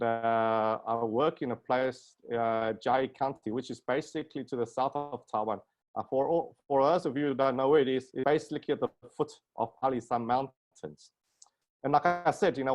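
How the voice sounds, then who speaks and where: A middle-aged man with a South African accent and a medium-pitched voice speaks as a guest lecturer in a webinar. He talks informally and pokes fun at himself, recorded on a video call. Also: fairly even intonation, moderate pace. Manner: self-deprecating, joking. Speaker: guest lecturer, plastic surgeon. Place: indoor room at home, video call.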